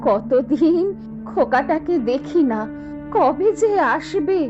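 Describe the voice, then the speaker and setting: A woman's voice: sits high, lively intonation, slow, muffled recording. A woman playing a meek, sorrowful old mother-in-law in a cartoon, with a pleading, plaintive way of talking. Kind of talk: animated cartoon voice acting. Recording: faint music behind.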